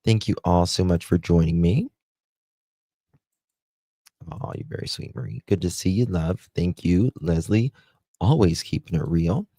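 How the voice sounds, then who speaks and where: A man with a Southern US accent hosting a live stream, chatting slowly with viewers and reading their comments aloud. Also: low voice, warm tone, no room sound, studio quality. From United States. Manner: slow, chatty, drawling, talks to viewers by name. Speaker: livestream host.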